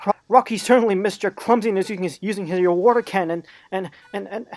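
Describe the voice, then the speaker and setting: A woman's voice: mid-range voice, a little mellow, soft background music, close to an everyday voice. A woman voicing an earnest, hot-tempered male German shepherd pup in an emotional cartoon fan drama. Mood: desperate, pleading. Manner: intense, halting, emotional.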